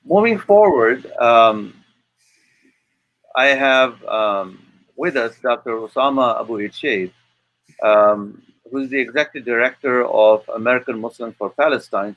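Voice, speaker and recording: A man hosting an online webinar and introducing guests, speaking in a hesitant, halting way with repeated words. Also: medium pitch, a bit bright, no echo, low-quality recording. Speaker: webinar host.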